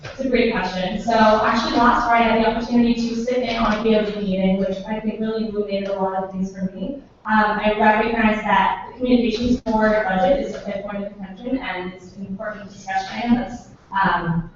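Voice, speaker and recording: Fast-talking young woman making a pitch. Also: fairly even intonation, recorded from a distance, slight background noise. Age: young adult. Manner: earnest, self-assured, quick. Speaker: applicant to a student board. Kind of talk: prepared opening statement and answers. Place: council meeting room. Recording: dull, echoey room from afar.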